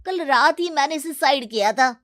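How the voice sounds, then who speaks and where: A woman's voice: high voice, lively intonation, normal speed. A woman voicing a jumpy, grumbling young man who is scared of ghosts, exclaiming and complaining in a cartoon story. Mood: pained, annoyed. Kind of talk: animated cartoon story acting.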